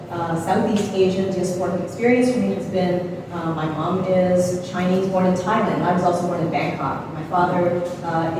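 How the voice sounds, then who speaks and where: A woman from Canada, speaking as an artist in a gallery conversation, reflective and unhurried with frequent pauses. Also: on the low side. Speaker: visiting artist. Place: gallery space, indoors. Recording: echoey hall from far off, noisy.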